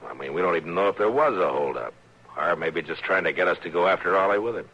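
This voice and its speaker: Steady frontier marshal, very deep voice, dry measured talk, muffled old radio sound. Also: male voice, husky, exaggerated voice. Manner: calm, dry, measured questioning. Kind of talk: 1950s radio drama acting. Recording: old radio broadcast, dull and hissy.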